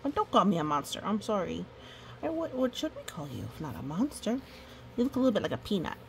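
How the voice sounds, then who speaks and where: A woman's voice: mid-range voice, very animated, fast talker, creaky, a little hiss or hum. A woman reading a picture book aloud with playful, chatty asides to the characters and listeners. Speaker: storytime reader.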